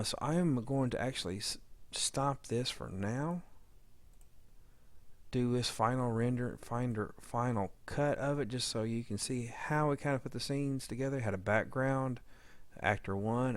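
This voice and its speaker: A man with a lowish voice narrates a casual editing tutorial. He rambles and halts, thinking aloud as he works. The sound is dry, with no room echo. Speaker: audio drama sound editor giving a demo.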